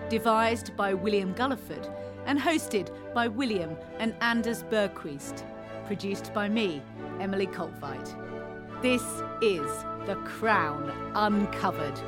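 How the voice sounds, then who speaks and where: A woman reads a podcast introduction in a clear, poised voice at an ordinary pitch for a woman. Music plays plainly behind her. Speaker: podcast producer, announcer. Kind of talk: scripted introduction. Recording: voice over music.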